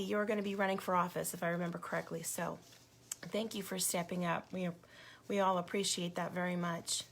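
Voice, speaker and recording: Woman with a worn-out raspy voice doing a live chat with viewers, crackly and gravelly, gets heated. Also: fairly low pitch. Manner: indignant, gets louder when riled up. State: losing her voice, hoarse. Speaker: activist livestream host. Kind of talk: casual livestream talk to viewers.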